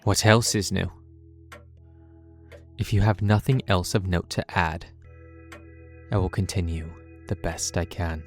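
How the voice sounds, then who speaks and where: Casting: male actor as earnest, guilt-ridden sci-fi doctor, low and deliberate, roomy echo, for audio drama. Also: fairly even intonation, soft background music. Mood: solemn, determined. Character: defiant, guilt-ridden time-travelling doctor, determined to make amends. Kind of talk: dramatic scripted fan audio acting.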